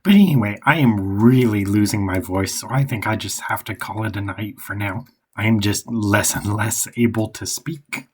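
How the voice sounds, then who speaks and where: A hoarse man who is losing his voice thinks aloud as he outlines a novel, hesitant and rambling. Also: on the low side. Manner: hesitant and rambling, many pauses and restarts. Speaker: writer.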